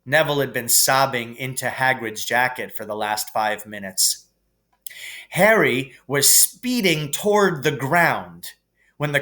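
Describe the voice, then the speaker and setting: A man reading a children's novel aloud as expressive narration. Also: on the high side. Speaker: reader.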